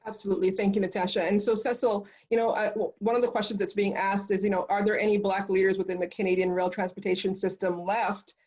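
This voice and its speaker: A woman's voice: medium pitch, flat delivery, fast, hardly any pauses, darkish tone. A woman moderating a panel discussion in a conversational, affirming way, passing questions along to the speakers. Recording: dull, lo-fi audio.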